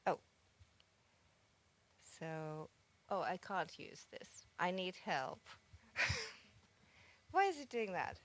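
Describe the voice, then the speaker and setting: A woman's voice: on the low side, huge pitch swings, drawn-out words, pauses often, a bit bright, a little hiss or hum. A woman from Finland with a Finnish accent, an artist giving a reflective conference talk and often searching for words. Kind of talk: conference presentation. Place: conference hall stage.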